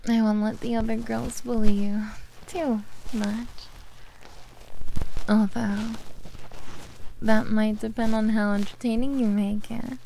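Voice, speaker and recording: A woman playing a possessive, teasing, motherly beast-girl captor in an intimate ASMR roleplay, speaking softly in a close-up murmur that slips into whispers. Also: a little high, flat delivery, gravelly, a little hiss or hum, low-quality recording. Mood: teasing, mock-menacing. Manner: slow, soft teasing murmur slipping into whispers. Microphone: close ASMR microphone.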